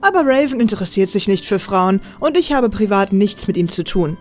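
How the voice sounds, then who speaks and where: A woman's voice: mid-range voice, expressive, brisk, faint music behind, natural voice. Woman acting a mocking, self-assured female assassin. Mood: confident, matter-of-fact. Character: mocking, confident female assassin, worldly and teasing. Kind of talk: German fan audio drama acting.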